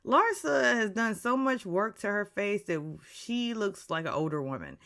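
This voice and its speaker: Animated gossipy woman in her forties. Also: medium pitch, normal speed. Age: 40s. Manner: animated, catty, drops into whispers for asides. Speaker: reality TV recap vlogger. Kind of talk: gossipy episode recap.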